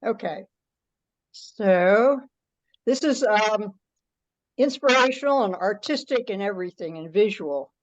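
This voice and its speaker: A woman's voice: mid-range voice, lively intonation, unhurried, long pauses. A woman informally showing something to others in a meeting. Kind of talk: informal show-and-tell in a meeting. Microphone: group teleconference call. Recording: dull lo-fi conference call audio.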